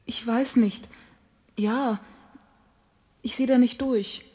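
A woman's voice: on the high side, fairly even intonation, takes it slowly, a little mellow, slightly rough. A woman playing a naive, lovesick young woman who speaks humbly and anxiously in a radio play. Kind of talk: radio drama acting.

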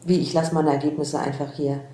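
A woman's voice: deep voice, level tone, brisk, mellow, natural voice. A woman playing an assertive, wry test participant in a naturalistic radio drama. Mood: indignant, sarcastic. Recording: roomy sound, faint noise.

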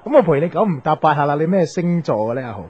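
An actor with a Hong Kong Cantonese accent playing a gossipy, campy, meddling friend in a radio drama. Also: male voice, fairly high pitch, animated, racing, breathy edge. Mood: chatty, sly. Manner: chatty, quirky, gossipy. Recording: dull, noisy radio drama recording.

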